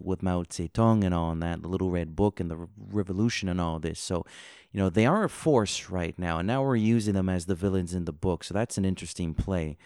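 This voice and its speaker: Low-voiced man, relaxed and joking. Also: smooth, dry recording, clean studio sound. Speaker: podcast co-host. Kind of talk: casual book discussion.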